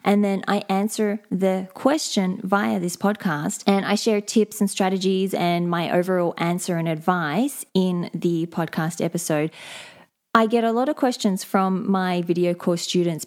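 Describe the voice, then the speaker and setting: A woman, a leadership coach, talking alone on her podcast, explaining things in a steady, measured way. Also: mid-range voice. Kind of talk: solo podcast monologue.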